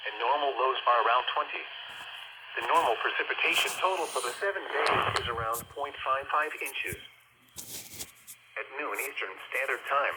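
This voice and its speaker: A man's voice: medium pitch, very noisy. A man reading a scripted weather bulletin in a stiff, impersonal, segmented way, heard through a radio speaker.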